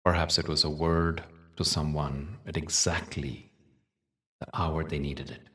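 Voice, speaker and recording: A man leading a guided meditation in a soothing, measured way, leaving long pauses between short phrases. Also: a bass voice, little pitch movement, pushed and bright, distant mic. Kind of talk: guided meditation with spoken teaching.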